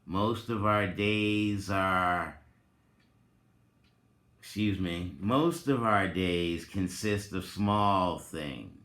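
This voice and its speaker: Male reader, lowish pitch, extremely slow theatrical delivery with long pauses, echoing room. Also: fairly bright tone. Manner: theatrical, deliberate, drawn-out phrasing with long pauses. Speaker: reader and commentator, presenter of the recording. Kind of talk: dramatic reading with informal asides. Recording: roomy, echoing sound.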